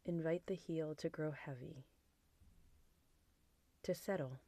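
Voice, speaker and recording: A woman guiding a body scan meditation in a soft, gentle, soothing manner, with phrases trailing into a near-whisper. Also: low voice, slow pace, no echo. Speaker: meditation guide.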